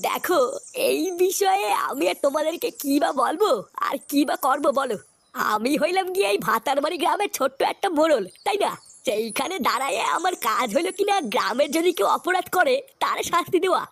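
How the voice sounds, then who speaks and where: Man voicing an evasive village headman, eastern Bengali dialect, high-pitched. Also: animated, vocal fry, fairly bright tone, full character voice. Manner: drawn-out, rhetorical tag questions. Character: evasive village headman, self-excusing and unhelpful. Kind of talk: comic cartoon dubbing.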